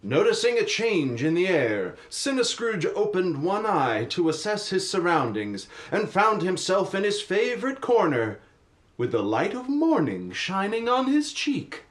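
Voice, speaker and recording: A man plays a solemn storyteller narrating a spooky Christmas tale. His fairly high male voice takes its time through the dramatic prose, over faint noise. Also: very animated, measured pace. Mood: solemn storytelling.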